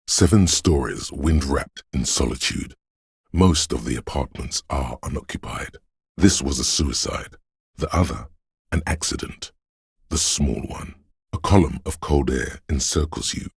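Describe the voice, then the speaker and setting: An actor voicing a brooding, poetic inner sense of a haunted city in solemn, measured, atmospheric game narration, very deep and gravelly. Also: male voice, dry recording, studio quality, heavily acted. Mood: hushed, foreboding.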